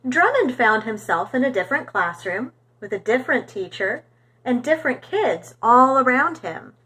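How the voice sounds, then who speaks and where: A woman reading a storybook aloud for young children in an expressive, animated storytelling manner. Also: a little high, moderate pace, slightly rough, rough recording.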